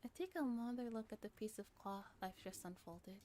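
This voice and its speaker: A soft-spoken woman reading a visual novel aloud in a gentle ASMR style, giggly and sometimes stumbling over lines. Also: medium pitch, moderate pace, a little hiss or hum.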